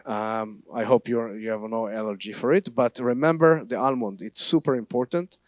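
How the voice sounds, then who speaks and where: Israeli guest speaker, Hebrew accent, mid pitch, dry close sound, halting phrasing with restarts. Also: male voice, fairly even intonation, a bit bright, dry recording. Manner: halting, non-native grammar with frequent restarts. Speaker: guest speaker, tour guide. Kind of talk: church teaching talk. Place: church.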